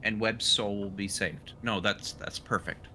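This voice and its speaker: Bright-voiced male player, halting delivery. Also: lowish voice, fairly even intonation, slight background noise. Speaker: tabletop roleplaying player. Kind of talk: casual in-character roleplay.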